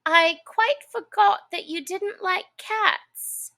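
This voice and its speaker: A woman voicing a polite, eager young girl in a storybook, chatty and quick to apologise. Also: very high-pitched, bright, forceful tone, voice pushed up, exaggerated voice. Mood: apologetic. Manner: apologetic, soothing, dreamy rambling. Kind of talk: storybook character voice.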